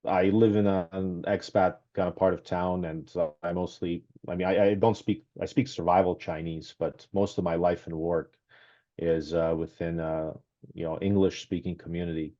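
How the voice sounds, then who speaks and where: A man raised in Canada, speaking as a guest on a video call interview through a headset, talking casually with many pauses and restarts. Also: low-pitched, little pitch movement, mellow. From Serbia, raised in Canada. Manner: hesitant, many ums and restarts. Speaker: guest, author. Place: small room at home. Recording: dull lo-fi video call audio.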